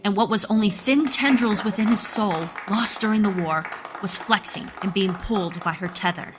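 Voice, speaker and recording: A woman's voice: mid-range voice, normal speed. A woman narrator reading a scripted dramatic story for a radio play. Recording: loud music under the voice. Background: loud music.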